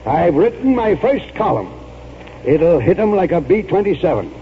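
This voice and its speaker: An actor playing a pompous, stubborn old retired colonel in an oratorical 1940s radio style. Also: male voice, high voice, big pitch swings, moderate pace. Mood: grandiose, combative. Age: older. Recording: tinny, noisy 1940s radio recording.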